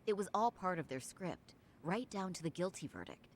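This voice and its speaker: A woman's voice: fairly low pitch, animated, moderate pace, a little hiss or hum, lightly acted. An actress voicing a cool, composed female defense attorney in a video game, speaking firmly. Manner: firm, composed.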